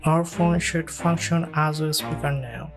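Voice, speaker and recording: Even-toned tutorial narrator over faint music. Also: mid-range voice, unhurried. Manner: plain step-by-step instructing. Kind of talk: scripted instructional narration.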